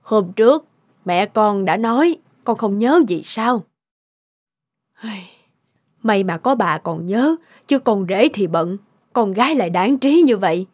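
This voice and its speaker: A woman's voice: medium pitch, expressive, slow pace, darkish tone. A woman voicing a strict, fussy elderly mother-in-law in a cartoon, with a scolding, nagging tone. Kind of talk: cartoon dubbing.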